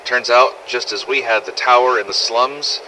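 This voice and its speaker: A man's voice: medium pitch, moderate pace, pushed and bright, a little hiss or hum, poor audio. A man playing a brash, foul-mouthed survivor of a zombie apocalypse, telling a scripted audio log in a blunt, sardonic, conversational way. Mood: subdued, determined. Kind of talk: scripted first-person audio log narration.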